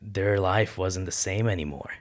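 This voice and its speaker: A man's voice: low voice, normal speed. A man, a podcast guest telling personal stories in an earnest, colloquial way. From born in Ecuador, moved to the United States. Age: 30s. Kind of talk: casual personal storytelling.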